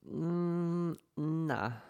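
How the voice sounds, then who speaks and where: A young man co-hosts a podcast in a loose, joking way, with plenty of gravelly fry in his slightly raised voice. He slips back and forth between dialect and standard speech as he talks. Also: a little high, drawn-out words, a little mellow, dull audio. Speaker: podcast co-host. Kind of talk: casual chat.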